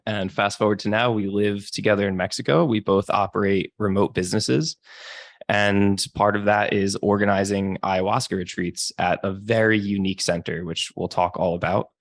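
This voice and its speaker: A young man with a very deep voice talks in a relaxed, easygoing way and laughs easily. The recording is dry and close, with no room sound around him. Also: moderate pace, dry recording. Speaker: podcast guest. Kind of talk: casual chat.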